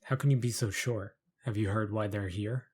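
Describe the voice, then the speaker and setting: A man playing a tired, guarded fugitive in a fantasy audio drama, speaking in a low-key way. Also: steady tone, normal speed, darkish tone, husky. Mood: worried, guarded. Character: weary, wary fugitive man in a fantasy town.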